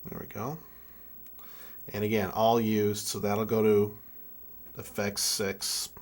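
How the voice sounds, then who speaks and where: A man in his twenties giving a casual hands-on tutorial, thinking aloud in a halting way as he works. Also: fairly low pitch, level tone. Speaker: music keyboard tutorial presenter.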